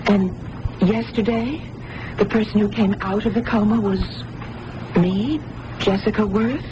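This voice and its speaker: An actress playing an earnest, trusting young woman in an old-time radio drama, with an expressive, dramatic delivery. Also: medium pitch, a little slow, lo-fi sound, close to an everyday voice. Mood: stunned. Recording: noisy old radio broadcast.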